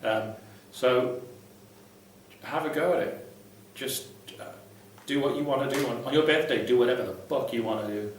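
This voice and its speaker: A man's voice: medium pitch, normal speed. Blunt, sweary man in a very echoey room. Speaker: vlogger, ex-Jehovah's Witness advice host. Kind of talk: casual unscripted advice talk to viewers. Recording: very echoey room, distant mic, faint noise.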